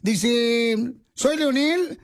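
A man's voice: very high-pitched, very slow. A man with a Mexican accent hosting a casual radio show, talking in a playful, teasing, slangy way. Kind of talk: boisterous casual Spanish-language radio chat. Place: radio studio.

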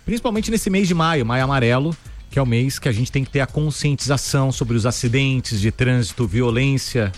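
A man co-hosting a radio news show, reading headlines briskly with casual asides. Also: medium pitch, expressive. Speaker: news co-host. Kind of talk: radio news bulletin chat. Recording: faint music bed behind.

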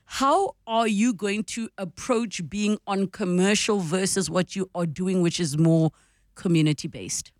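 A South African woman hosts and advises in conversational style, with a mid-pitched voice. She explains things in an encouraging way, and the sound is dry with very little room. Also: unhurried, no echo. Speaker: radio host and mentor. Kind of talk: conversational advice and interviewing.

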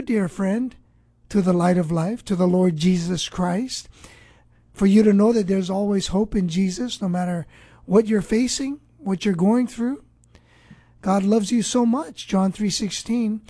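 A woman with a mellow, relaxed tone, recorded close and dry. She hosts a radio program, speaking earnestly and deliberately, with a preacherly way of phrasing things. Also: medium pitch, no echo. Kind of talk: scripted radio introduction and interview.

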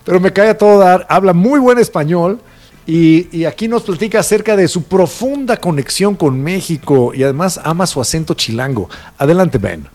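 Mexican male host, slightly higher voice, relaxed chat-show lead. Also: normal speed. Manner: leads the segment, introduces the clips. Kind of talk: casual talk show chat.

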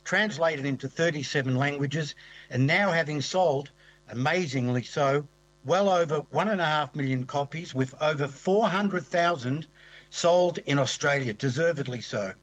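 A man's voice: a little high, normal speed, poor audio. A man hosting a program, giving an effusive, scripted introduction of his guest and asking questions. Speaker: radio program host.

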